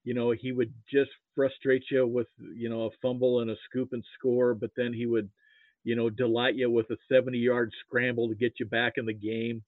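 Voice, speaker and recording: Phone-quality narrow sound, American man, halting casual sports talk, dry, mid-low pitch. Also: little pitch movement, dry recording. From Nebraska, United States. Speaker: podcast guest, sports fan. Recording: muffled phone-like line.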